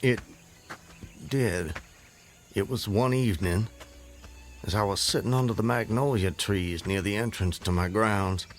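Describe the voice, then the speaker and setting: An actor playing a proud, fervent planter in a radio drama, formal and grandiloquent. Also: male voice, fairly low pitch, unhurried, long pauses, natural voice. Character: proud, fervent Southern gentleman planter and husband.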